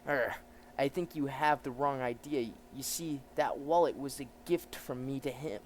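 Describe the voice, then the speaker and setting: A man playing a polite, fearless young English gentleman with a hot temper from a comic, courteous but firm. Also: mid-range voice, steady tone, normal speed, slight background noise, lightly acted.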